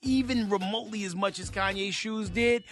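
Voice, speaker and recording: An American man hosting a radio show, telling news stories in an animated, slangy, conversational way. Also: sits high, fairly quick. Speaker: radio show host presenting hip-hop news. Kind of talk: casual radio news chat. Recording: music playing faintly behind the voice.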